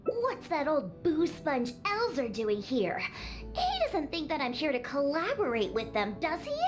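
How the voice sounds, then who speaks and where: Tiny high voiced grumpy cat girl from an anime game, bossy, bouncy pitch, music behind. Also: wildly swooping pitch, measured pace, exaggerated voice. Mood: indignant, scornful. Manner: curt, indignant, bossy. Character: haughty, grumpy young cat-girl bartender.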